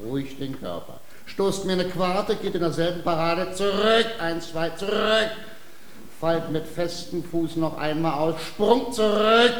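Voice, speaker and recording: An actor playing a swaggering, quarrelsome fencing master in a German radio comedy, declaiming pompously. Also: male voice, on the high side, a little slow. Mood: commanding. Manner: pompous, declamatory, barking drill commands. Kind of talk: German radio play acting, classical comedy. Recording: roomy, echoing radio drama with faint noise.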